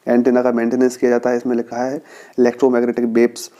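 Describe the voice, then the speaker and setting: A man's voice: medium pitch, monotone, fast talker, mellow, highs cut off. A man with an Indian accent giving an informal, instructive lecture to students, as a teacher on an exam-prep channel. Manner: instructive, reads out topic lists and explains them.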